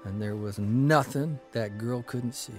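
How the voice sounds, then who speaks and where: An actor playing a wry, folksy 1940s American newspaper columnist, hesitant and dryly joking in a naturalistic audio drama. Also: male voice, mid-range voice, a little slow, music in the background, natural voice. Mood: reflective. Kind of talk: naturalistic period radio drama.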